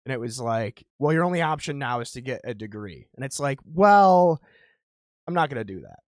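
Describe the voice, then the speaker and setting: A man's voice: mid-range voice, lively intonation, normal speed, no room sound, clean studio sound. Candid rambling man in his thirties. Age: 30s. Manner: rambling, candid, self-deprecating. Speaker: podcast host. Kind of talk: casual podcast chat.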